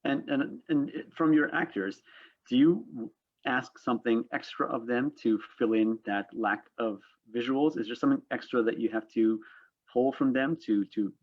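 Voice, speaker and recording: A man's voice: mid-range voice, steady tone, moderate pace, dull audio. A man hosting a panel discussion, feeling his way through wordy questions to his guests. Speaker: panel host. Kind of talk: interviewing a panel.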